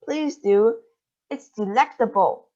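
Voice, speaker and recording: A woman's voice: on the high side, takes it slowly, warm tone. A woman voicing an eccentric, boastful male chocolate factory owner in a storybook reading. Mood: exuberant. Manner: exuberant, boastful, theatrical. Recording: dull lo-fi recording.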